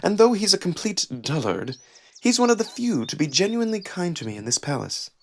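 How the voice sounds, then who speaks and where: Man voicing a stern, raised-pitch she-wolf noblewoman. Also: slight background noise. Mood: guarded, steady. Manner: steady, measured, cutting.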